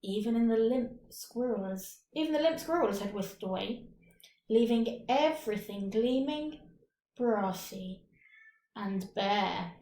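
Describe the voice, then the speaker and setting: A woman reading a short story aloud with deliberate, dramatic phrasing and pauses. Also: fairly high pitch, expressive, warm tone. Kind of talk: expressive scripted story narration. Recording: roomy, echoing room.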